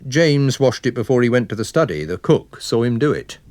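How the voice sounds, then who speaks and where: A man's voice: medium pitch, big pitch swings, a little fast, darkish tone, dry recording, voice pushed up, lightly acted. A man playing a methodical police inspector who sets out facts in a matter-of-fact, explanatory way in a radio mystery.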